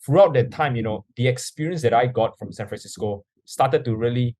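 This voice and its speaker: A man's voice: medium pitch, a little fast, dark tone, no room sound. A young man from Singapore, telling his story as a podcast guest in an earnest way full of "you know" fillers. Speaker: guest, startup founder.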